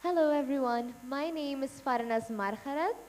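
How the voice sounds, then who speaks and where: A nineteen-year-old woman from Suriname introducing herself, then reading out fan questions with halting pauses over names. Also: sits high, darkish tone. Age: teens. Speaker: pageant contestant and question moderator.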